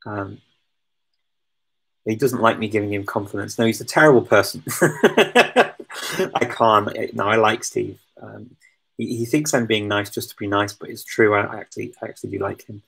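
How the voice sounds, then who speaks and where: A man's voice: lowish voice, normal speed, gravelly, a bit bright, husky, low-quality recording. A man answering viewer questions in a casual live chat, talking hesitantly with many ums and restarts. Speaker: language-learning streamer answering viewer questions.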